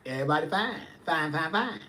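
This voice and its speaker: A man's voice: on the high side, animated, a little fast, sharp tone. A man, chatting casually on a livestream and answering viewers' comments with sass. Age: 40s. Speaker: livestream host.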